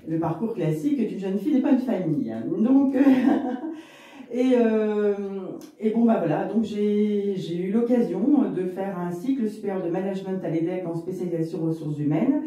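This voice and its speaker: A woman's voice: mid-range voice, moderate pace, mellow, heavy reverb, recorded from a distance, highs cut off. A woman in her fifties from Lille, telling her career story in a rambling, chatty way as an interview guest. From Lille, northern France. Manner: chatty and rambling, many fillers and asides. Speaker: guest, business owner in real estate.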